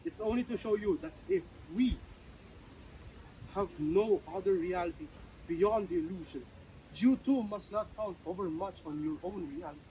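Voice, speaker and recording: Male actor playing philosophical stage character, earnest, emphatic, formal, high male voice, distant mic, faint noise. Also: moderate pace, warm tone, lightly acted. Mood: calm, certain. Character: earnest, philosophical man who is a play character, insistent. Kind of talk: stage play dramatic reading.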